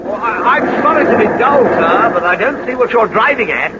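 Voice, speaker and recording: An actor playing a deferential, timid manservant sidekick in a 1940s radio drama, fussy, polite and hesitant. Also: male voice, sits high, expressive, normal speed. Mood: nervous, deferential. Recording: very noisy, dull 1940s radio recording.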